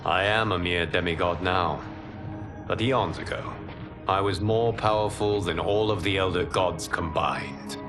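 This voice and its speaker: A calm, low-voiced demigod. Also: male voice, music in the background. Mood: solemn. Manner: measured, solemn, soft-spoken. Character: calm wise male demigod, former keeper of time. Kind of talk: video game cutscene acting. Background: orchestral game score.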